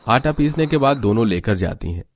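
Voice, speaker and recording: A man's voice: medium pitch, lively intonation, fairly quick, a little hiss or hum. A man delivering scripted narration as the storyteller of a cartoon tale.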